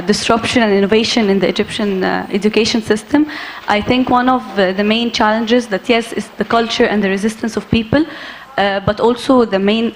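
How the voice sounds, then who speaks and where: A young woman student asking a panel a measured question. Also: fairly high pitch, slight background noise.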